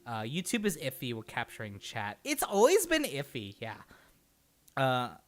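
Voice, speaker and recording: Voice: mid-range voice, huge pitch swings, moderate pace. A livestream host chatting casually and rambling from thought to thought, with an easy habit of laughing and swearing.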